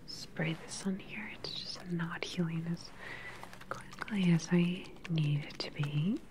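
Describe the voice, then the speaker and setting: A woman playing a calm, controlling evil scientist in a sci-fi ASMR roleplay, speaking in a soft, breathy, soothing clinical whisper. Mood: calm, soothing menace. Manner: slow, soothing, clinical personal attention. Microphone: close mic. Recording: faint background lab ambience noise. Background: low sci-fi lab ambience.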